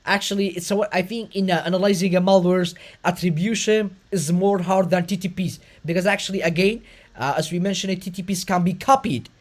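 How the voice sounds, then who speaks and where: High-pitched host, lots of uh and restarts, explaining stuff. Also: male voice, fairly even intonation. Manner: fast, effortful talk with frequent fillers and restarts. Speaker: podcast host. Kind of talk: conversational interview.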